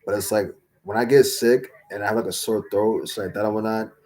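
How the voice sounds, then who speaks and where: Rambling young man with a slightly raspy voice. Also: fairly low pitch, fairly even intonation, brisk, a little mellow. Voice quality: voice going slightly raspy from talking all day. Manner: rambling, heavy on fillers and slang. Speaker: livestream host answering chat. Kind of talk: casual livestream Q&A chat.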